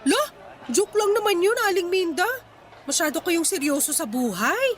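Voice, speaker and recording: A woman's voice: extremely high pitch, expressive, normal speed. A woman playing a young, cheeky and persuasive market fish vendor with a Filipino accent in a radio drama. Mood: cheeky, defensive. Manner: pleading sales patter with teasing comebacks.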